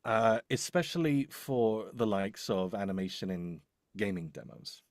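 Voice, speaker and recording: A man's voice: on the low side, expressive, unhurried, dry recording, clean studio sound. A man chatting casually and explaining his work, hesitant and rambling as he thinks aloud. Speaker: voice-over demo producer.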